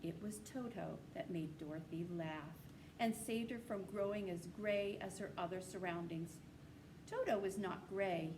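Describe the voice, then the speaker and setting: A woman reads a children's novel aloud in a measured, descriptive storytelling manner. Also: on the low side. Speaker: storybook reader. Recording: clearly noisy amateur recording.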